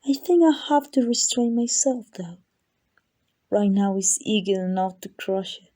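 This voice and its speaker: A woman voicing an ancient, arrogant, possessive female vampire in an ASMR roleplay, condescending and teasing, with a breathy voice that dips into whispers. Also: medium pitch, a little slow, warm tone, lightly acted. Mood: eager, possessive. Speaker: amateur voice actor.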